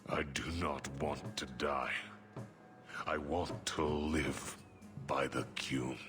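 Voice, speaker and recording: An actor playing a solemn, devout giant captive mage in a fantasy video game, grave and halting in his speech. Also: a bass voice, steady tone. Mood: resigned. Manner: halting, grave, terse, deliberate. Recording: music playing behind the voice.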